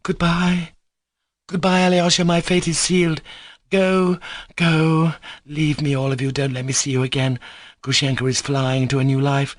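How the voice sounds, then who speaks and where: A man's voice: on the high side, completely flat delivery, normal speed, dry recording, clean studio sound, voice pushed up, lightly acted. A man reading a classic novel aloud, voicing a passionate, volatile young woman with fierce, hysterical outbursts. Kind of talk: audiobook character reading.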